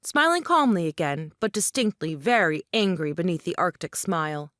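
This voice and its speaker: A woman's voice: lowish voice, animated, normal speed, a bit bright, dry recording, studio quality. A woman reading stage directions as scripted narration for an audio play.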